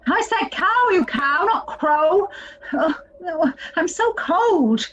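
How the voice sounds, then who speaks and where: An actress playing a bitter, sarcastic sister with an accusing, emphatic delivery in an audio play. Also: female voice, very high voice, animated, slow, fairly bright tone, heavily acted. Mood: grumbling. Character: bitter, aggrieved, sarcastic woman quarrelling with her sister.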